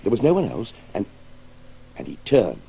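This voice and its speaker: An actor playing a pensive, hesitant father in a radio drama, halting and reflective. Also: on the low side, huge pitch swings, creaky, warm tone, a little hiss or hum, exaggerated voice, low-quality recording. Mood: uneasy wonder. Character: pensive, hesitant father narrating his strange dreamlike adventures. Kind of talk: 1980s radio drama acting.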